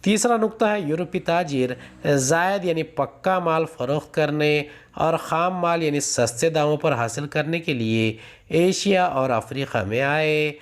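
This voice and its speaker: A man's voice: a little high, measured pace. A man reading out a lesson methodically as a teacher, announcing each numbered point. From India. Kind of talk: scripted lesson, reading questions and answers aloud.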